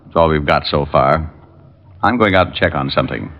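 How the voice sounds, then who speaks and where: A brash detective hero with a low, wry, hard-boiled delivery. It sounds like vintage radio crime drama, slightly dull in tone. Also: male voice, fairly quick. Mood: wry, insistent. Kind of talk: 1940s-50s radio detective acting. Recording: muffled old radio recording with music.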